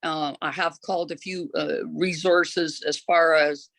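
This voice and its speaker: A volunteer host, talking informally at a meeting and often pausing to search for words. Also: medium pitch, fairly even intonation, a bit bright, no echo.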